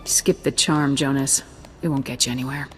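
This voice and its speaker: A woman's voice: rumbling low, fairly even intonation, normal speed, faint music behind. An actress playing a tough, no-nonsense young woman soldier in a video game, curt and businesslike. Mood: curt, dismissive.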